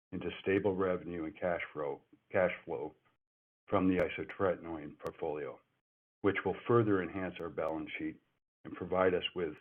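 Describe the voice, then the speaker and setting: Low-voiced male executive, scripted earnings remarks with stumbles and restarts, thin phone-line sound. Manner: reads from a script with frequent restarts and self-corrections. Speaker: company executive. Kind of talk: prepared earnings call remarks. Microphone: telephone. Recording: phone-line conference call audio.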